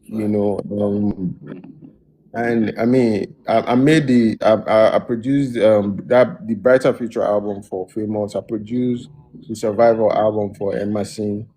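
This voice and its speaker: A man's voice: on the low side, a little slow, low-quality recording. A man, a music producer, telling his story in a casual interview with a lot of restarts. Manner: relaxed, loose storytelling with many restarts.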